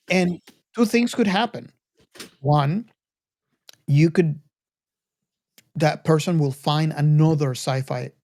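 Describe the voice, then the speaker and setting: A man hosting a podcast, speaking earnestly and off the cuff to the audience, halting and repeating words. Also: a little high, darkish tone. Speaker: podcast host. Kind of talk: casual, unscripted talk to the audience.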